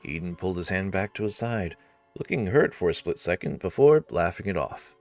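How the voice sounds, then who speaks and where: Male narrator, deep pitch, story reading, informal wry asides, faint background music. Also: lively intonation, brisk, darkish tone. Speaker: narrator and commentator.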